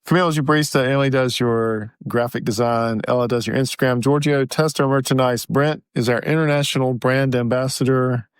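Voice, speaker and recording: A man's voice: medium pitch, steady tone, brisk, few pauses, smooth, no room sound, studio quality. A man co-hosting a casual podcast, chatting in an easygoing, joking way. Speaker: podcast co-host and sound engineer. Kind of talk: casual chat.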